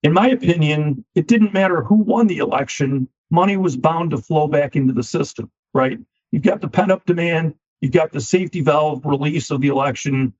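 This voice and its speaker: A man's voice: on the high side, a little mellow. Man with a scratchy voice, talking business. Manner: measured, repeats words when starting sentences. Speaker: equipment finance CEO, guest. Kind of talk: business podcast interview. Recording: dull lo-fi sound.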